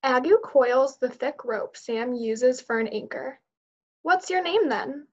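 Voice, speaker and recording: A young woman reads a children's picture book aloud as a teacher. Her voice sits fairly high. The sound is a little dull, as on a video call. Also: expressive, slow. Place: indoors, on a group video call.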